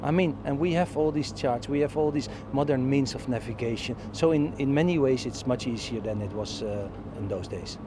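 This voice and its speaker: A man's voice: mid-range voice, normal speed, few pauses. Hesitant man, Dutch native, noisy outdoors. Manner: hesitant, thoughtful. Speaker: ship's captain.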